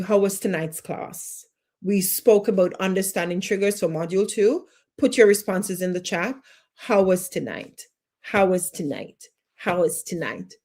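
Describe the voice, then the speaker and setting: A woman coach, leading a live online class and encouraging her viewers warmly. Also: fairly low pitch, breathy edge. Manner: encouraging, repeats short questions, reads out viewer comments. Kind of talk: live-streamed class, talking to viewers in chat.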